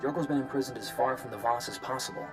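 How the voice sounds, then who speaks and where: A man's voice: medium pitch, quick pace, close to an everyday voice. A man playing a matter-of-fact adviser in a video game, reporting in a businesslike, informative way. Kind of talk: video game cutscene acting. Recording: loud music under the voice.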